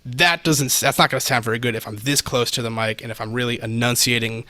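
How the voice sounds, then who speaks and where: A man's voice: mid-range voice, fairly even intonation, fast, pushed and bright, dry recording. Man casually explaining, very dry close sound. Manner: informal, explains practically with examples. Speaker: podcast guest, audio expert. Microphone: close podcast microphone, slightly off to the side.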